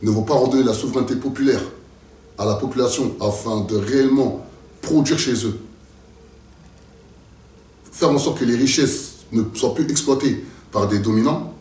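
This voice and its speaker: A man's voice: mid-range voice, normal speed, long pauses, darkish tone, roomy sound, recorded from a distance. A man from France answering interview questions in an emphatic, repetitive, speechlike way. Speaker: local political activist and candidate.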